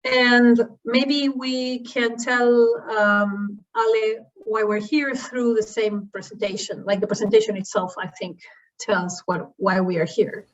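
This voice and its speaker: A woman's voice: fairly high pitch, measured pace. Woman from Argentina, distant and muffled. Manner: relaxed, with fillers. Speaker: social science researcher. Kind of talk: conference self-introduction. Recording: distant, dull-sounding call audio.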